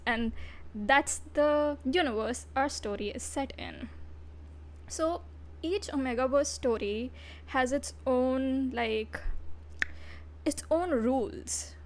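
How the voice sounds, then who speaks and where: A woman's voice: fairly high pitch, slight background noise. A woman VTuber giving a casual livestream review, blunt and profane, breaking into giggly asides.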